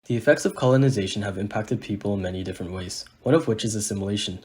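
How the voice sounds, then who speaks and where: A man reading a scripted narration aloud in an even, read-out manner. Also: on the low side, very fast. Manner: read-aloud, evenly paced.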